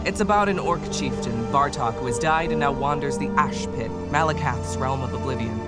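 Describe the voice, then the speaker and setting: A man's voice: medium pitch, fairly quick, close to an everyday voice. A man voicing a laid-back, self-deprecating orc writer and reluctant adventurer in a video game, with a wry, hesitant, understated delivery. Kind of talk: casual video game companion dialogue. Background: music behind the voice.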